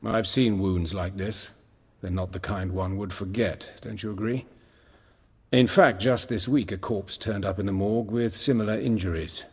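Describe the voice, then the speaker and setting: An actor playing an erudite, steely surgeon in a video game, speaking formally and precisely in a husky low voice. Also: male voice, fairly even intonation, normal speed, a little mellow, in a large hall. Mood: grave. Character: composed, erudite male surgeon with steely resolve.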